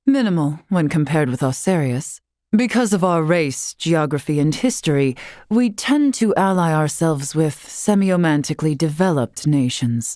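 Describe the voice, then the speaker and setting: A woman playing a wise, courtly elder of a fantasy race in a video game, speaking formally and with measured, gently teasing poise. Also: sits low, dry recording, studio quality. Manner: formal, measured, archaic wording. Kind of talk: scripted game character dialogue.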